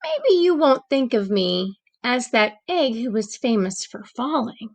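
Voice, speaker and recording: A woman voicing a gentle, anxious but persevering egg who narrates a picture book, with deliberate, expressive pauses. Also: fairly high pitch. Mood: quietly proud. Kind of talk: picture book read-aloud.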